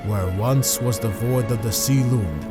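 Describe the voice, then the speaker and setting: An actor playing a haunted ship's officer in an audio drama, telling a sea tale in measured, solemn, formal phrasing. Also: male voice, medium pitch, over music, lightly acted. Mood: haunted, somber. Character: haunted sailor, first mate, recounting a sea tale. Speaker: narrating character in an audio drama. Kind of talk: dramatic scripted first-person storytelling.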